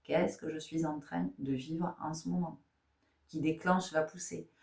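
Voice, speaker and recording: A woman's voice: sits low, fairly even intonation, measured pace, creaky, a little mellow, distant mic. A woman giving a spiritual tarot guidance talk, asking rhetorical questions, repeating phrases and often checking in with her listeners. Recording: echoey room.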